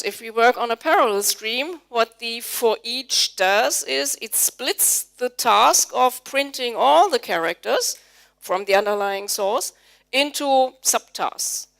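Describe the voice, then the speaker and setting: A woman's voice: fairly high pitch, creaky, fairly bright tone. A middle-aged woman whose first language is German, giving a live technical talk to a conference audience and polling the listeners with questions. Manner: explanatory, polls the audience with questions. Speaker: conference speaker, Java trainer. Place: conference hall before an audience.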